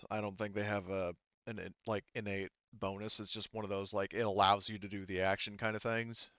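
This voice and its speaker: A man with a smooth, lowish voice works as game master, talking casually and feeling his way through explanations. The sound is close and dry, slightly dull. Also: little pitch movement, a little fast, fairly bright tone, no echo. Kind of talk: improvised tabletop game narration and rulings.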